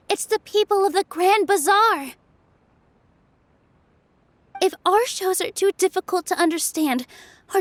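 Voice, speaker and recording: A woman voicing a gentle, earnest young dancer in a game who speaks softly and sincerely. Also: very high-pitched, no echo. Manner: soft, soothing, sincere.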